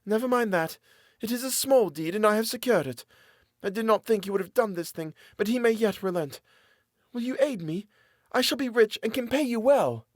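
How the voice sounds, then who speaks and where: A terrified young woman held captive begs for her freedom in a high, pleading voice, as a male narrator puts her on. Her speech rises and falls with animated swings, and the recording is dry and close. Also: normal speed, no echo. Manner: high-pitched, desperate, pleading. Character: frightened young woman imprisoned in an asylum, pleading.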